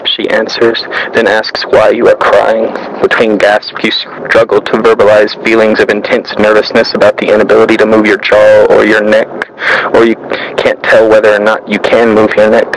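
A man with a lowish, crackly voice recites a scripted piece as its narrator. His delivery is measured and stays very flat, with little rise or fall. There is noticeable noise behind him on the recording. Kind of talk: scripted literary monologue, read aloud.

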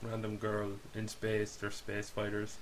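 A man's voice: on the low side, completely flat delivery, measured pace, poor audio. A man co-hosting a casual podcast about films and games, talking bluntly and swearing freely as he dismisses things. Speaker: podcast co-host. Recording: hissy podcast recording with background noise.

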